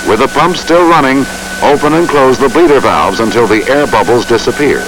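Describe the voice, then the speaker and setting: A man reads scripted instructional narration for a training film in a measured, formal way. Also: mid-range voice, lively intonation, over music, poor audio. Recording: hissy old training film soundtrack.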